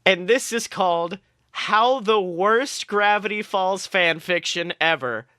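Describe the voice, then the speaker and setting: A man hosting a show, reading comic fan fiction aloud in an expressive, playful storytelling way. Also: sits high, slow pace, clean tone, no echo, studio quality. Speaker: podcast host.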